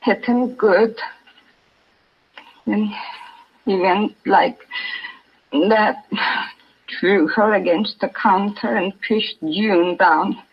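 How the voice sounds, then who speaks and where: Slow, husky Swedish immigrant mother. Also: mid-range voice, heavily acted. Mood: distressed. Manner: halting, repetitive, simple broken English. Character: shaken Swedish immigrant mother, bakery shopkeeper, robbery victim. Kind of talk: 1950s radio drama acting. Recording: dull 1950s radio recording.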